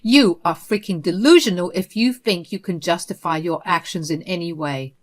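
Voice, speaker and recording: A woman's voice: fairly low pitch, moderate pace, natural voice. A woman voicing a firm, protective grown son who scolds sternly in a read-aloud story. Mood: stern angry.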